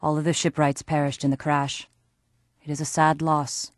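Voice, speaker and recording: A woman voicing a young female elf who speaks formally and gravely in a game cutscene. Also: low-pitched, little pitch movement, no room sound, clean studio sound, natural voice. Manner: formal, measured, earnest.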